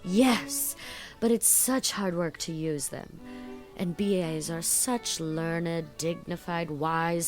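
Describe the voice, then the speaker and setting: A woman voicing a chattering, fun-loving girl of eighteen in a dramatized audiobook, gushing and playfully dramatic. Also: on the low side. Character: giddy, flighty 18-year-old girl, fond of fun.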